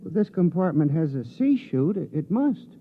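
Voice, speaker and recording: An actor playing a mild, earnest bookkeeper who speaks haltingly, in 1950s radio drama style. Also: male voice, fairly high pitch, big pitch swings. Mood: tentative. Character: mild, hesitant bookkeeper with quiet ingenuity. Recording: tinny, noisy 1950s radio recording.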